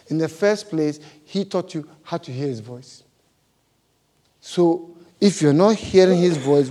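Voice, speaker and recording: Animated pastor with a non-native English accent. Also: male voice, on the high side. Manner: animated, laughing storyteller, big swings in loudness. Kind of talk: sermon.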